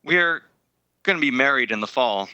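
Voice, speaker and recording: A man playing an earnest, guilt-ridden young man in a 1930s-style radio drama, speaking haltingly and hesitantly. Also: mid-range voice, lively intonation, pushed and bright, clean tone, no echo, dull audio. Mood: pained, pleading.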